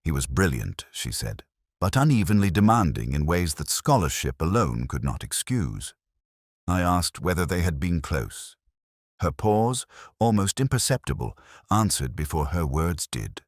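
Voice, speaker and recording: Very deep-voiced man narrating detective fiction. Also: big pitch swings, measured pace, no room sound, studio quality. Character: brooding, cerebral male police detective narrating his case. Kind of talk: first-person scripted narration, slow-burning mystery.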